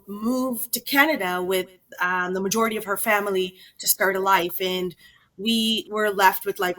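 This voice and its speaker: A woman's voice: mid-range voice, bright, forceful tone, rough recording. A woman from Guyana, a children's author, telling her life story in a chatty, conversational way. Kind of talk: conversational storytelling interview answers.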